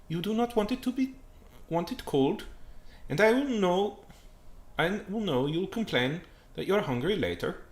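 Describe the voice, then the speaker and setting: A man's voice: high voice, lively intonation, unhurried, voice pushed up. A man voicing a stoic, easily annoyed German man in a story, curt and commanding. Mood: nagging. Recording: roomy, echoing sound.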